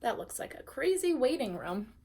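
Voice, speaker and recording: A woman teacher reading a storybook aloud expressively and pausing to put questions to her young listeners. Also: high-pitched, sing-song, a little mellow, breathy edge. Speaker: teacher reading a bedtime story to first graders.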